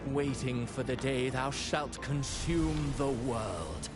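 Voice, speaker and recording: A sinister playwright villain from a video game. He speaks very slowly in a medium-pitched male voice, languid and florid with poetic menace, over clear music. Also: lively intonation. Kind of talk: theatrical video game voice acting.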